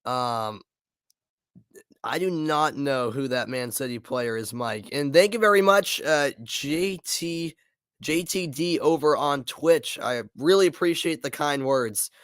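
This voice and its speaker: A man's voice: mid-range voice, takes it slowly, fairly bright tone, clean tone, dry recording, studio quality. A man doing live play-by-play intermission commentary in a casual, chatty way, a bit congested with a sore throat. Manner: casual, chatty, frequent fillers and restarts.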